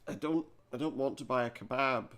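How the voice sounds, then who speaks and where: A man's voice: mid-range voice, fairly quick, a bit bright. A man giving casual let's play commentary on a game, with dry joking asides. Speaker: gaming video host.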